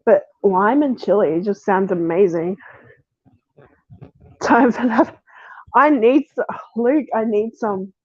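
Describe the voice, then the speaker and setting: A woman streamer with a croaky, sniffly voice from being sick, chatting casually and laughing often. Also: medium pitch, slow pace, pauses often, no echo. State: croaky voice from a cold. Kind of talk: casual live stream chat. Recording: dull lo-fi sound.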